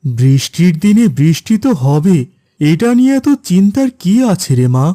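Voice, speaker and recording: Casting: man to play gentle middle-aged cartoon dad, warm relaxed tone, measured and reassuring. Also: high voice, no echo, studio quality. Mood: dismissive calm. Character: gentle, patient, reassuring middle-aged village-born father. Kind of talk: cartoon voice acting.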